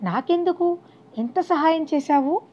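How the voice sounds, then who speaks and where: Woman reading as a high-voiced, earnest old beggar. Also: expressive, a little slow. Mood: puzzled. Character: poor, grateful old male beggar. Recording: dull, lo-fi audio.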